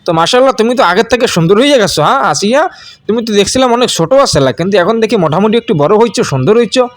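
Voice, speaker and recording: Man acting a sly old villager, cartoon father-in-law, rustic Bangladeshi dialect, fast wheedling talk, dry sound. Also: high voice, expressive, dry recording. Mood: fawning. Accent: Bangladeshi regional. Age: older.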